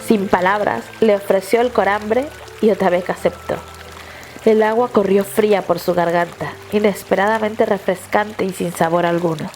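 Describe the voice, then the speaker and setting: A woman narrator reading a scripted dramatic story in a measured, literary storytelling manner. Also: mid-range voice, steady tone, a little mellow, soft background music, rough recording.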